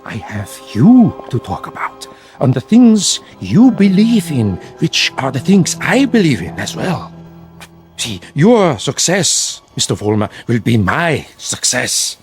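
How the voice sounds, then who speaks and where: An actor playing a sinister, commanding dead dictator in a radio drama, with a husky, insinuating, formal delivery. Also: male voice, medium pitch, big pitch swings, takes it slowly, soft background music. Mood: sly, self-assured. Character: sinister dead dictator, coaxing a follower in demagoguery. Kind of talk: vintage-style radio drama acting.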